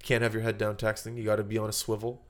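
Man, lowish voice, casual podcast chat, mock-earnest explanations. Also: monotone, quick pace. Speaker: podcast host.

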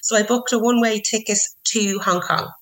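A woman's voice: fairly high pitch, fairly even intonation, fairly quick. A woman in her thirties, chatting in an interview with many restarts and fillers. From Ireland. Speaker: interview guest, wine professional. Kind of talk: casual interview chat.

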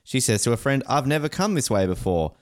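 Joking man with a lively voice. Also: mid-range voice. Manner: jokey, laughing, quick silly voices. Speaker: podcast co-host. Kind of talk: casual banter, reading jokes aloud.

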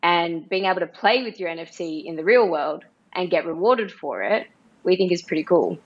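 An Australian woman being interviewed as a project co-founder, speaking in an upbeat pitching manner with some uh fillers over a remote call. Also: animated, moderate pace, highs cut off. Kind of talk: casual interview talk.

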